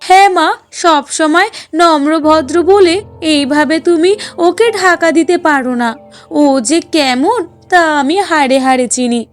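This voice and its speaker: A woman playing a high, spiteful young sister-in-law. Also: measured pace, faint music behind. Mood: indignant, complaining. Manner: scolding, complaining, demanding. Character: spiteful, whiny young sister-in-law who tells tales. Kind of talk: Bengali cartoon dialogue.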